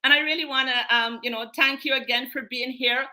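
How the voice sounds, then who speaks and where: A woman's voice: high voice, fairly even intonation, brisk, pushed and bright, low-quality recording. A woman from Guyana, born in England, hosting and presenting to an online group in an emphatic way, repeating key phrases. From born in England, raised in Guyana. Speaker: host, menstrual wellness coach.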